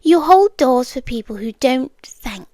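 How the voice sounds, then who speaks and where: A woman acts out an eerily calm, possessive yandere girlfriend with a British accent and a high voice. She speaks slowly and deliberately, often sinking into a whisper. It is an intimate ASMR roleplay, very close and dry on the microphone. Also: dry recording. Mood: soft, coaxing. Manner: measured, deliberate phrasing, often dropping into whispers.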